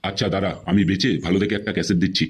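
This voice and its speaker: Man voicing a low-pitched Bengali cartoon villager. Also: fairly even intonation, rapid-fire, slightly rough. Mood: friendly, curious. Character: adult village man, blunt and nosy. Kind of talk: Bengali comedy cartoon dubbing.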